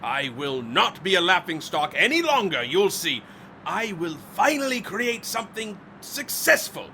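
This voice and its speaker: A driven inventor in a horror radio play. His high, bright voice makes grand, intense proclamations with a defiant edge, over some background noise. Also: big pitch swings, unhurried, exaggerated voice. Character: obsessive, grandiose, defiant male scientist inventor. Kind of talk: theatrical radio drama acting.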